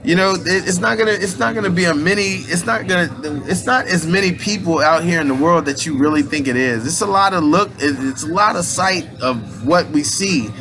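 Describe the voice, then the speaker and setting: A man's voice: sits high, a little fast, hardly any pauses, crowd noise. Rambling slangy man in his late thirties. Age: 30s. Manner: loose, repetitive, slangy street talk with frequent restarts. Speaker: aspiring minister and motivational speaker. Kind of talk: rambling casual spiritual monologue.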